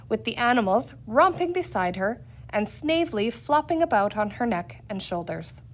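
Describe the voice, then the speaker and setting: A woman reading a children's adventure story aloud in an expressive, scripted narrating style. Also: a little high. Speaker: audiobook reader.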